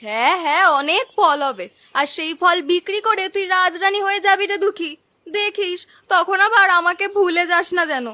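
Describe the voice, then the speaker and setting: A woman's voice: extremely high pitch, moderate pace, pushed and bright. A woman voicing a vain, greedy, sneering young village sister in a cartoon story, with a dismissive, mocking delivery. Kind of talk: Bengali cartoon story dubbing.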